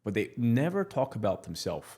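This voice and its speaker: A man in his thirties from Morocco, speaking as a podcast guest in a low voice. He talks candidly and bluntly, restarting his sentences and leaning on fillers as he goes. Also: very animated, moderate pace, mellow. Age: 30s. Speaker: guest entrepreneur. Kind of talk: casual podcast interview.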